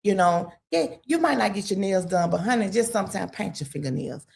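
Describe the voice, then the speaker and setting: A woman in a casual talk-radio discussion, rambling and emphatic, full of "you know" and sudden jumps in pitch. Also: animated, fairly quick, gravelly, a little mellow.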